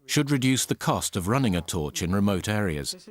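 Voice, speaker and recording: Low-voiced male documentary narrator. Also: big pitch swings, normal speed.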